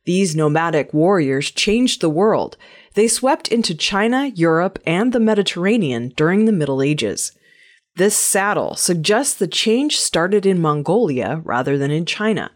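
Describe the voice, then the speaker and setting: A woman news presenter reading scripted news stories in a measured, clearly enunciated way. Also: on the low side.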